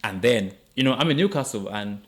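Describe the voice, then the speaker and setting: A man hosting a podcast, chatting with his guest in a relaxed and encouraging way. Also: mid-range voice, a little fast. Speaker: podcast host. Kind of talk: casual interview chat.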